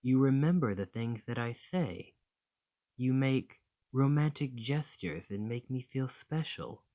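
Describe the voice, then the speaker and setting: A man's voice: mid-range voice, expressive, measured pace, mellow, muffled recording. A man playing an affectionate, slightly possessive dragon boyfriend in an ASMR romantic roleplay, speaking tenderly and reassuringly. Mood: tender, adoring.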